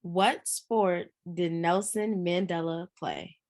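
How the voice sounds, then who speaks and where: A woman's voice: on the low side, steady tone, slow pace. A woman playing a busy grown daughter in a screenplay read, casual and naturalistic, teasing and defensive. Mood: playful. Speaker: actor in a script read. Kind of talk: live screenplay table read.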